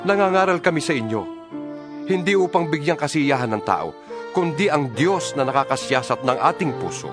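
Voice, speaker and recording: A man's voice: on the high side, very animated, moderate pace, over music. A man with a Filipino accent giving a solemn, earnest dramatized reading of scripture as a scripted narrator.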